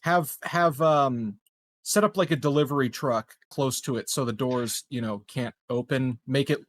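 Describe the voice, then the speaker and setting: A man playing a tabletop game, casually thinking aloud in a halting way. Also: medium pitch, no echo. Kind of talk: casual planning discussion.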